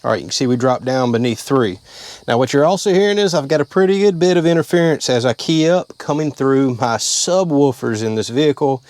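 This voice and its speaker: A man with a drawl walks through a hands-on job step by step, speaking plainly and at an easy pace. His voice sits at an ordinary middle pitch, and the sound is that of someone talking inside a vehicle. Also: expressive, brisk, darkish tone, dry recording. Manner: plain-spoken, step-by-step explaining. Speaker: channel host. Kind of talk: casual how-to vlog.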